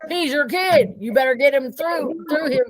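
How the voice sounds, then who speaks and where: A woman's voice: sits high, normal speed, crowd noise, close to an everyday voice. A woman playing an impatient, bossy businessman in a children's storybook, with a demanding manner. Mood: exasperated. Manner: barking, demanding. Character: impatient, bossy male client, angry businessman.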